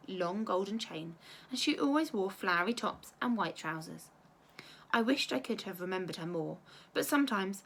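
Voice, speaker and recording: A woman teacher reading a children's storybook aloud with expressive narration. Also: medium pitch, a little hiss or hum.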